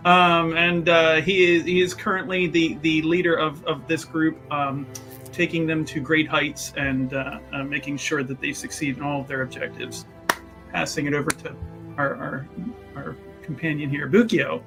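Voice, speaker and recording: A man with a bright, fairly high voice chatting casually as a tabletop player. He rambles, restarting phrases and leaning on fillers, while faint music plays under him. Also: little pitch movement, normal speed. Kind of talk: casual chat introducing his character.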